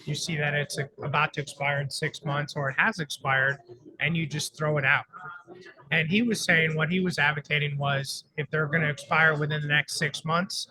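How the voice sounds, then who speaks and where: A man's voice: on the high side, level tone, normal speed, pushed and bright, rough recording. A man co-hosting a live radio show from a conference, talking in a chatty, upbeat way. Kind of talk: casual live radio chat. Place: conference floor broadcast.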